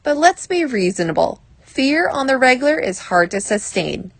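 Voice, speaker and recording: A woman reading a self-help article aloud as scripted narration, in a measured, clearly phrased way. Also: sits high, big pitch swings, dry recording.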